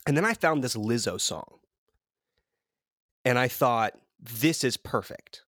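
A man hosting a podcast in a casual, playful, excitable chatting style. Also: mid-range voice, slow pace, no room sound, clean studio sound. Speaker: podcast host.

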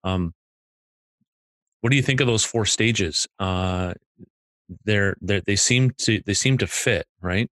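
A man with a very low voice walks through his ideas step by step on a remote call. He talks methodically, pausing with ums and restarts. The sound is dry and close. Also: fairly bright tone, no room sound. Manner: methodical, breaks ideas into stages, hesitant fillers. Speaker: podcast co-host. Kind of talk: explaining ideas in casual chat.

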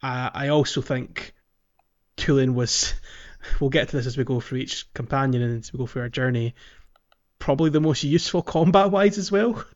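A man's voice: medium pitch, moderate pace, highs cut off. A man hosting a podcast, discussing a video game casually and often chuckling mid-sentence. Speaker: podcast host.

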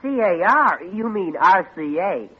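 An actor playing a plain-spoken, skeptical young son in a vintage radio family comedy. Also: male voice, high voice, expressive, a little slow, slightly rough, exaggerated voice. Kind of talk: 1940s radio sitcom acting. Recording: noisy, dull old radio recording.

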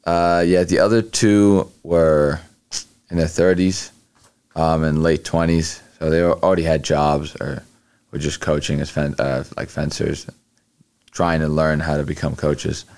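A man's voice: a bass voice, steady tone, darkish tone, smooth. A young man answering interview questions casually, with lots of fillers and restarts. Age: young adult. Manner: halting, many fillers and restarts. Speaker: guest, college athlete.

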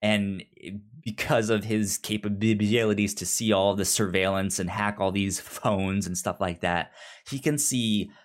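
A man co-hosts a casual podcast chat, retelling a story at a slow pace. His voice sits a little low, and his delivery is halting, with word starts often repeated. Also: steady tone. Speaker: podcast co-host.

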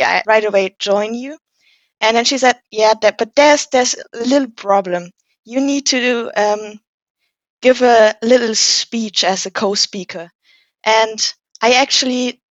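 A woman's voice: mid-range voice, no room sound. Young German-accented woman, dull lo-fi audio. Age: late teens. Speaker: podcast guest, university student. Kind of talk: casual personal storytelling.

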